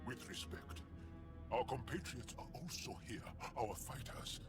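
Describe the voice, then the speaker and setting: A man plays an alien rebel fighter, earnest and formal yet full of passion. His pitch swings widely from line to line, with a clear musical score behind him. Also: animated, unhurried, over music, natural voice. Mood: pleading, passionate. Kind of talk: video game cutscene acting.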